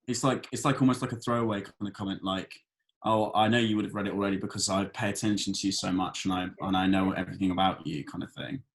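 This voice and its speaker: A man's voice: low voice, fairly even intonation, quick pace. A man, an actor at a table read, chatting casually and loosely about his part. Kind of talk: casual chat about a script.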